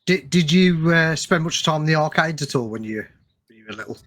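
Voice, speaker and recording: A man's voice: fairly high pitch, animated, a little fast, fairly bright tone. A British man hosting a podcast, chatting and asking questions in an informal, matey way. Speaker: podcast host. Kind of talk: casual interview chat.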